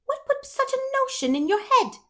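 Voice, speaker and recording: A woman's voice: very high-pitched, very animated, moderate pace, a bit bright, pitched above the performer's own voice, exaggerated voice. A woman voicing a nosy, outspoken neighbor in a storybook, emphatic and disapproving. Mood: scandalized. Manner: shocked, emphatic, scolding.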